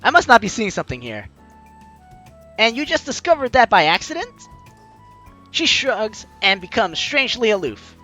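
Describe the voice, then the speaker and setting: Animated, bright-voiced man reading a game aloud. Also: high-pitched, moderate pace, pauses often, faint music behind. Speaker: host of a game playthrough. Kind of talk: let's play, reading visual novel lines aloud expressively.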